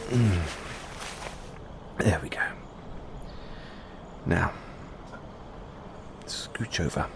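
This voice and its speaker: A man's voice: sits low, expressive, very slow, creaky, very noisy, exaggerated voice. A man playing a playful, affectionate boyfriend in an intimate bedtime audio roleplay. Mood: affectionate, soothing. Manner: teasing, affectionate.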